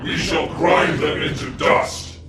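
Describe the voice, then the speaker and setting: An actor playing a fierce, defiant alien warrior in a video game, declaiming forcefully in a gravelly voice. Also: male voice, on the high side, slow pace, heavy background noise. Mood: fervent, shouting. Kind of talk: dramatic video game cutscene acting. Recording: echoey, with loud music.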